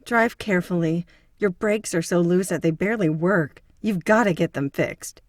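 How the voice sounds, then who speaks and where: An anxious yet assertive therapist turned sleuth played by a woman in an audio drama. Her earnest voice sits fairly low and is recorded very dry. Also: moderate pace, no room sound, close to an everyday voice. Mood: scolding. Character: anxious, assertive feminist woman psychotherapist turned amateur detective.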